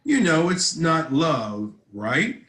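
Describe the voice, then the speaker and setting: A man's voice: on the high side, very animated, slow, fairly bright tone, exaggerated voice. A man playing a troubled, blunt teenage boy in a script table read. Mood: blunt, serious. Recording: roomy echoing home recording.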